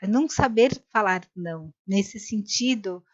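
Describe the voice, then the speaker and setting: A woman's voice: medium pitch, measured pace, lo-fi sound. A woman co-hosting a live conversation, telling a story in a rambling way with many restarts. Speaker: co-host of a live talk. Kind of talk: casual chat.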